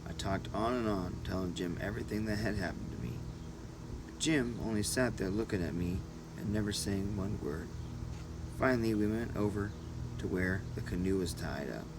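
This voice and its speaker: A man narrating a first-person adventure story as an audiobook reader, in short deliberate phrases. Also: low-pitched, lively intonation, background noise.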